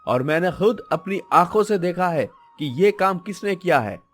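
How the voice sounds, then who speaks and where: An honest young market seller played by a man in a cartoon moral tale. His voice is fairly high for a man, measured and earnest, with music behind it. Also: expressive. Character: honest, principled young male vegetable seller. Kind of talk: Hindi moral-story cartoon acting.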